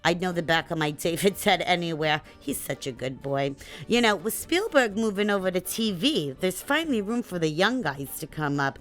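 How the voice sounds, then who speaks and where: A woman's voice: lowish voice, expressive, moderate pace, few pauses, soft background music, lightly acted. A woman playing a chatty, gossipy New mother in her sixties who brags about her son and drifts off on tangents. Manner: kvetching, name-dropping, tangents. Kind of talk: comedic sketch monologue.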